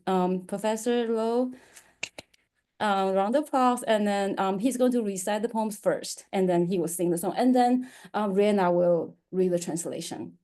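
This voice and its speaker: A woman giving an informal spoken introduction as an event presenter, with halting, hesitant phrasing. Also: mid-range voice, mellow.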